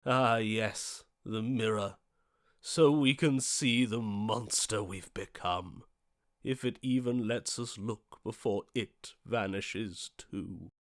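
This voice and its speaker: Slow, melodramatic lovesick romantic hero. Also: male voice, lowish voice, dry recording, studio quality. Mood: guilty brooding. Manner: theatrical, florid, archaic declamation. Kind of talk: video game voice acting.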